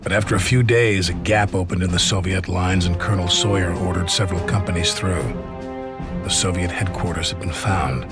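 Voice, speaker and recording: An actor with an American accent playing an army officer who recalls a war in measured, reflective narration, with music underneath. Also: male voice, sits low, steady tone, close to an everyday voice. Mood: grave, measured. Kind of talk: scripted dramatic war narration.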